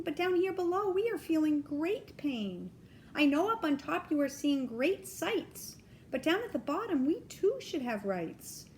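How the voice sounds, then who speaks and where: A woman's voice: high-pitched, a little hiss or hum, heavily acted. A woman voicing a meek, suffering little turtle in a children's storybook, pleading politely and plaintively. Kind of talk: children's storybook read-aloud.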